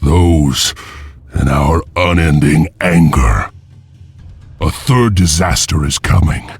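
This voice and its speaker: An actor playing a solemn, vengeful heir of an enslaved warrior clan, speaking gravely and deliberately in a dramatic video game style. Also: male voice, very deep voice, exaggerated voice. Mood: defiant, resolute. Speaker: story narrator and lead character. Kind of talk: dramatic scripted game narration and dialogue.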